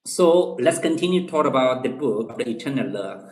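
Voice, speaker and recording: A man's voice: medium pitch, expressive, normal speed, a little mellow, reverberant, rough recording. A man from China with a Chinese accent, hosting a casual livestream chat with viewers, often repeating himself and tagging his sentences with "okay?". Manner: repeats phrases, tags lines with "okay?". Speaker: livestream host.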